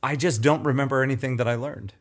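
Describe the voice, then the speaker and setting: A man's voice: medium pitch, steady tone, fast talker. A man co-hosting a podcast in casual chat, with a nasal, throaty voice, rambling and self-deprecating. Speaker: podcast co-host.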